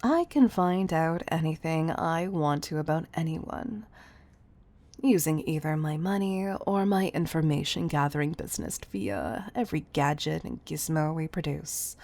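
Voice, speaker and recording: A woman's voice: sits low, gravelly, dark tone, full character voice. A woman playing a condescending billionaire CEO who gives commanding tough love in an intimate audio roleplay. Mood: smug.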